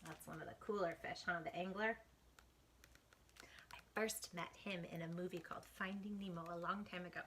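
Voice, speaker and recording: A woman hosting storytime, reading a picture book aloud to children with animated, dramatic emphasis and hushed asides. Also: fairly low pitch, brisk, a little hiss or hum.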